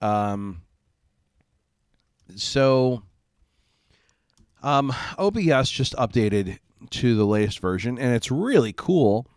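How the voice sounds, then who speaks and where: A man's voice: mid-range voice, very animated, slow, long pauses, dry recording, studio quality. A man with a cold and a stuffy, congested nose, hosting a live gaming stream in a rambling, apologetic way. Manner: rambling and apologetic, many fillers and restarts. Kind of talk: casual live-stream chat. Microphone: home streaming mic.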